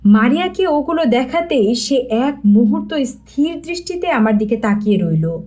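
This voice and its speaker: Female audiobook narrator, high register, dark mellow timbre, scripted storytelling. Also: very animated, normal speed.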